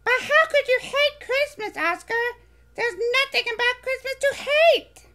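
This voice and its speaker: A woman's voice: very high-pitched, lively intonation, unhurried, sharp tone, pitched above the performer's own voice, exaggerated voice. A woman voicing a cheerful little monster boy who talks about himself in the third person, from a storybook reading. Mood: excited.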